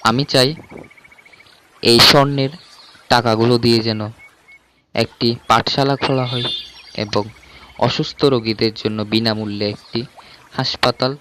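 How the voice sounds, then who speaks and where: A man plays a poor, honest farmer in a cartoon tale. His medium-pitched voice is deferential and earnest, with light background noise and birds. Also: steady tone, normal speed, pauses often, close to an everyday voice. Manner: respectful, earnest. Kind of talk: cartoon story dialogue.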